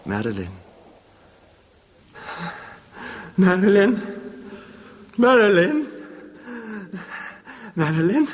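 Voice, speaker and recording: An actor playing an anxious, devoted, pleading husband in a 1970s radio horror drama, speaking hesitantly and stammering. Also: male voice, sits high, exaggerated voice. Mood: frantic. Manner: hesitant, stammering, self-blaming. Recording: noisy old 1970s radio recording.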